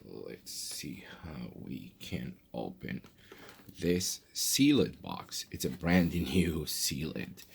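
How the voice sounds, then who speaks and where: A man with an extremely deep, creaky voice presents a product unboxing. His delivery is casual and halting, as if thinking aloud, and his pitch moves around freely. There is no studio polish to the talk. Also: big pitch swings.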